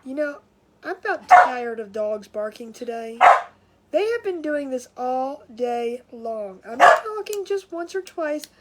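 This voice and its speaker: A woman's voice: high voice, very animated, unhurried, heavy background noise. A woman hosting a casual craft livestream from home, sounding nasal and congested, chatty and scattered, with frequent laughs and restarts.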